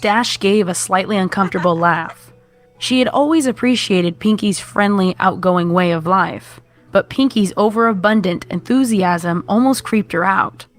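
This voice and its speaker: A woman's voice: mid-range voice, normal speed. A woman in her twenties narrating a scripted story as a reader of fan fiction. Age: 20s. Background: background music.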